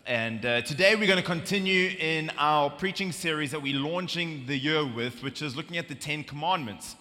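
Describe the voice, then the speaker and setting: A man's voice: fairly high pitch, moderate pace, pushed and bright. A man with a South African accent preaching a sermon as pastor, chatty and joking, then solemn when reading scripture. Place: large church hall. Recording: long reverb of a large hall.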